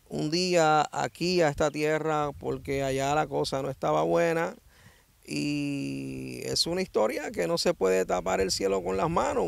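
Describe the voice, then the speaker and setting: Gravelly 60-year-old man with a Puerto Rican accent. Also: fairly high pitch, dry recording. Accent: Puerto Rican Spanish. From Dominican Republic. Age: 60s. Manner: lively storyteller who acts out quoted voices. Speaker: guest. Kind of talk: animated testimony. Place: outdoors under a tree in a grassy field. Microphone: handheld mic held by the interviewer.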